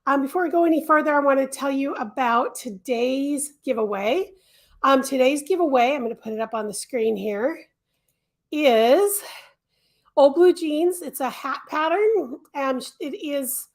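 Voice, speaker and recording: High-voiced woman losing her voice. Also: unhurried. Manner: chatty, many ums and restarts. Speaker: crochet designer hosting a livestream. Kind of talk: casual livestream chat.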